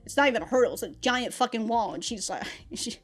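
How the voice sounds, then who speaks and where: A woman's voice: on the high side, fast. A young adult woman hosting an anime reaction vlog, rambling through a casual review with frequent restarts and laughs. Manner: rambling, animated, laughs often, many restarts.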